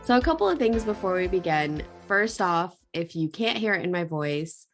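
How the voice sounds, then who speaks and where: A woman co-hosting a podcast in a relaxed, chatty way, her voice hoarse as it comes back after she lost it. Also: fairly low pitch, normal speed, soft background music. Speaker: podcast co-host. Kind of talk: casual chat and scripted intro.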